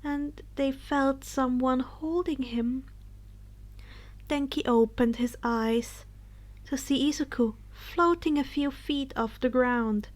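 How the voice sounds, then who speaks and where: A woman narrating a story in a dramatic, expressive storybook style, with some phrases breathy and hushed. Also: high voice, slow. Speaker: fan fiction narrator.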